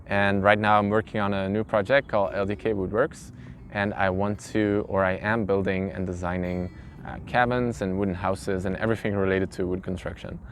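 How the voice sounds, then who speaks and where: A man's voice: fairly low pitch, flat delivery, moderate pace. A man, an English speaker, telling his own story informally in an interview. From Belgium. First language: not English. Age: early twenties. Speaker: interviewee, carpenter. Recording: clear background noise, ambient sound.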